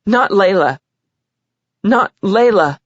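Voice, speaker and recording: A distraught teenage girl tries to get her statement out through tears, her voice shaking , as a woman narrator puts her on. She sits higher than the reader's usual voice and pushes louder when upset. The recording is dry and a little dull. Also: slow pace, no room sound. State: crying. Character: tearful teenage girl giving courtroom statement.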